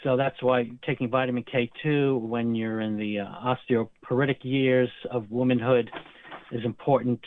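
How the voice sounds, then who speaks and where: A man's voice: medium pitch, fairly even intonation, normal speed, slight background noise. Man on a tinny phone line. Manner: explains medical terms at length. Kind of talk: interview explanation.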